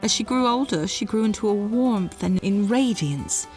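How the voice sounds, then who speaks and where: A woman narrator with a medium pitch tells a story in a measured, dramatic style. Music plays behind her scripted delivery. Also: expressive, darkish tone.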